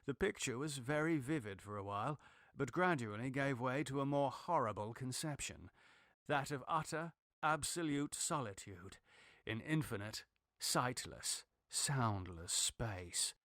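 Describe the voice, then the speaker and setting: Casting: male audiobook narrator for gothic horror, mid-pitched, grave and deliberate, very dry recording. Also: animated, a bit bright, dry recording. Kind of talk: dramatic literary horror narration.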